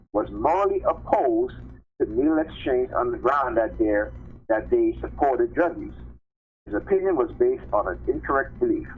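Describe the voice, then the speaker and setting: A man reading news articles aloud on a live stream, haltingly, repeating phrases and adding informal commentary. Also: mid-range voice. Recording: tinny phone-line sound with clear background noise.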